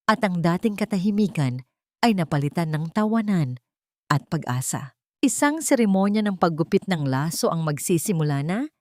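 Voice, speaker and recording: A woman narrating a scripted romantic drama story in a measured, sentimental way. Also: on the low side, darkish tone, dry recording, clean studio sound.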